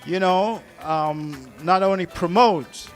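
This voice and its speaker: Higher-voiced man hosting, music behind. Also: takes it slowly. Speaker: event host introducing speakers. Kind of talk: live introduction at a symposium.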